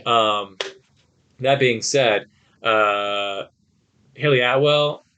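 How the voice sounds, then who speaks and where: A man's voice: mid-range voice, extremely slow, pushed and bright, clean tone, highs cut off. A man hosting a podcast, talking casually and conversationally as he gives his opinions. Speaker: podcast host. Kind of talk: casual film discussion.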